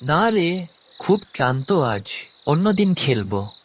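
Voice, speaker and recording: A man voicing a tired young village farmhand, a gentle uncle, in an animated story. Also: on the high side, slow pace, a little hiss or hum, voice pushed up. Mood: weary. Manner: weary, gentle refusal.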